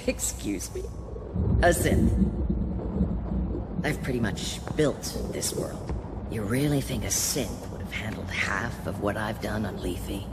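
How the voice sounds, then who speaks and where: An actress playing a haughty, calculating corporate colony director in a video game, speaking in a condescending, persuasive, deliberate way. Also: female voice, a bass voice, heavy background noise. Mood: scornful. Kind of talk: dramatic video game cutscene acting.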